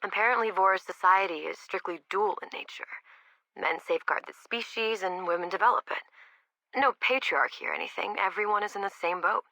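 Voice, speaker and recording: An actress playing a wry, candid research scientist recording conversational audio logs for a video game, sardonic, informal and chatty. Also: female voice, mid-range voice, a little fast, bright, forceful tone, no room sound, low-quality recording. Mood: warm, reflective.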